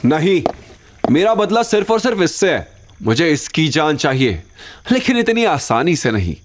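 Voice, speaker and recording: A man's voice: fairly high pitch, big pitch swings, normal speed, voice pushed up. A man voicing an arrogant, vengeful villain in an audio drama, gloating and giving orders. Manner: gloating, commanding. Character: vengeful, gloating male villain, arrogant city gang boss.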